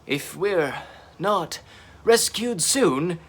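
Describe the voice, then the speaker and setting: A man plays a heroic young dinosaur captain in a children's storybook. He is earnest and excitable, with emphatic, dramatic delivery that swings widely in pitch. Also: drawn-out words, a little hiss or hum, heavily acted. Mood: weary dread. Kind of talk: children's storybook character reading.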